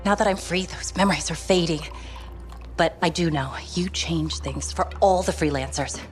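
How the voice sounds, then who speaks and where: A world-weary, wry woman from a video game, played by an actress. She speaks in a lowish, reflective voice with some hesitation. Faint music plays behind her. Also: moderate pace, close to an everyday voice. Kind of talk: dramatic video game cutscene acting.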